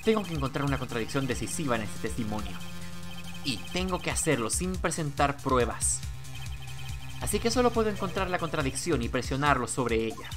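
A man's voice: mid-range voice, big pitch swings, a little fast, over music, pitched below the performer's own voice, natural voice. A man voicing an earnest, flustered young defence lawyer in a courtroom game, stammering and urgent. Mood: worried.

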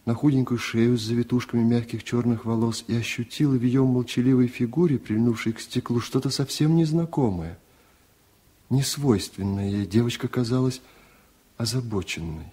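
Male reader for a radio story: mellow, husky, unhurried and reflective. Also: mid-range voice. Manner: measured, reflective, restrained. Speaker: narrator. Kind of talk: literary reading for radio.

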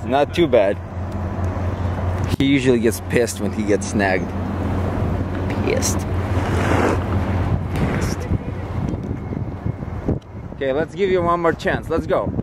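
A man's voice: medium pitch, animated. A young adult man chatting casually and teasingly outdoors as he films a fishing trip on a handheld camera. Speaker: vlogger filming a fishing trip. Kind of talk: casual off-the-cuff chat. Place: outdoors on a concrete river pier. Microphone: handheld compact camera. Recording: loud wind and rushing water noise. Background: wind, river water.

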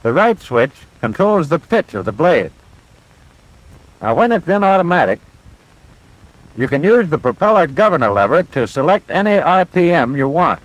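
A military flight instructor from a 1940s training film. His voice sits fairly high and swings animatedly in pitch. He explains step by step with patient confidence, heard on a vintage film soundtrack. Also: very animated, moderate pace, long pauses, no room sound, dull audio. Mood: patient, instructive. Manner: clear, methodical instructional delivery.

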